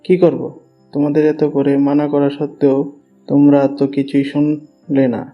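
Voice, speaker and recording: Man acting a mild, even-toned elder brother. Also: a little high, normal speed, dull audio, soft background music, lightly acted. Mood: defensive. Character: hesitant, mild elder brother joining a rescue. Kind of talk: Bengali audio story acting.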